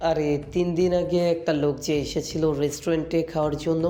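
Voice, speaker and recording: A man's voice: on the high side, little pitch movement, fast talker, warm tone, close to an everyday voice. A man playing a loyal gangster henchman in an audio story, blunt and eager yet deferential to his boss. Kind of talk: acted audio story dialogue. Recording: background music throughout.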